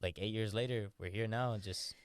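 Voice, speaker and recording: A young man, a boxer, chatting casually in an interview, relaxed and rambling with lots of "like" and "you know". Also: on the low side, brisk, smooth, dry recording. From born in New York, raised in Florida. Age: young adult. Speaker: professional boxer, interview guest.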